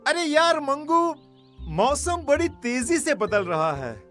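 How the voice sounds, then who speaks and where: Man voicing touchy cartoon villager, very high bright voice, unhurried, plaintive, exclamatory. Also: big pitch swings, soft background music, heavily acted. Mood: chatty. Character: touchy, easily offended male village farmer. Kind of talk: animated moral story acting.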